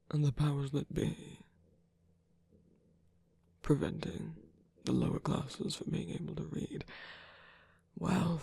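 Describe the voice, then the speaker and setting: A man playing a tender, guarded villain in pain in an intimate ASMR roleplay, speaking hesitantly in a husky, breathy near-whisper. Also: a little high, dry recording, exaggerated voice. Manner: hesitant, halting, softly wry.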